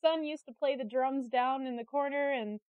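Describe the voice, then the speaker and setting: A woman with a fairly high voice chats like a guest swapping stories, giggly and informal. The recording is close and dry but slightly dull and lo-fi. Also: normal speed, smooth, no room sound. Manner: giggly, chatty, animated storyteller. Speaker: interview guest, creative director and artist. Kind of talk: casual conversational storytelling.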